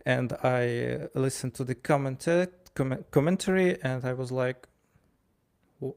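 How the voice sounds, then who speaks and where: A man with a creaky, gravelly voice and a Ukrainian accent. He talks casually, like a storyteller, stumbling and repeating words as he goes and voicing bits of dialogue. His pitch sits in an ordinary middle range. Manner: halting, stammering over words, animated storyteller acting out dialogue. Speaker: wrestling ring announcer and commentator. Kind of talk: casual spoken anecdote.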